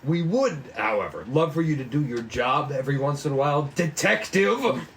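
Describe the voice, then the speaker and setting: A man's voice: fairly high pitch, animated, moderate pace, slight background noise. A man voicing a stern, exasperated police boss in a noir cop drama, brusque and weary. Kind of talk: 1940s noir cop drama table read.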